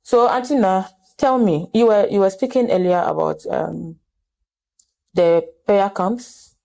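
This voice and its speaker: Ghanaian woman host, warm, earnest, restates answers. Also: medium pitch, unhurried, creaky, poor audio. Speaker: interviewer and host. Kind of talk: interview with advocacy appeals.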